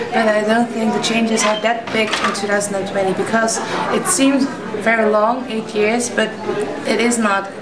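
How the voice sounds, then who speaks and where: Distant young woman in a crowd. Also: on the high side. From Tilburg, Netherlands. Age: 20s. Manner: thoughtful, with self-corrections. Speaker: interviewee. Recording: distant mic, echo and loud crowd.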